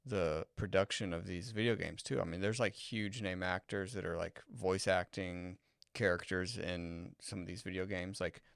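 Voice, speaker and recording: A man's voice: low voice, fairly even intonation, normal speed, creaky, clean tone, dry recording, studio quality. A man co-hosting a podcast in casual chat, agreeable and explaining his points at length. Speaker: podcast co-host.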